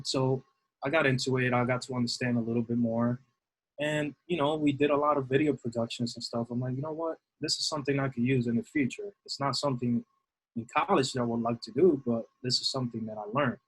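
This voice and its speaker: Middle-aged New man, husky, rambling guest answers. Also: mid-range voice, rough recording. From New York City. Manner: rambling, many fillers and restarts. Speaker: guest, assistant baseball coach. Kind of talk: casual interview answers.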